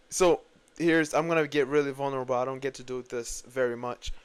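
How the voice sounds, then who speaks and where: A man's voice: a little high, clean tone. A young man hosting a solo podcast, talking earnestly and reflectively, rambling with frequent restarts and fillers. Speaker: podcast host.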